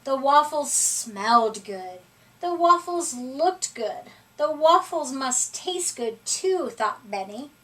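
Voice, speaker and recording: A woman reading a children's story aloud in an expressive, deliberate way, recorded at a distance from the microphone. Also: high voice. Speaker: storybook reader.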